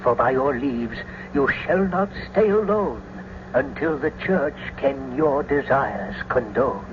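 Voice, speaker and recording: Kindly solemn friar, middle pitched, noisy old radio play. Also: male voice, expressive, takes it slowly, highs cut off. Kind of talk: old-time radio Shakespeare acting.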